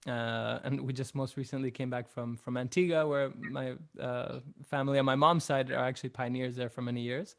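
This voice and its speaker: Relaxed young man raised in Chile. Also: mid-range voice, a little fast, vocal fry, hardly any pauses. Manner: relaxed and reflective, with many fillers. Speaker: musician guest. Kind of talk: casual interview answers.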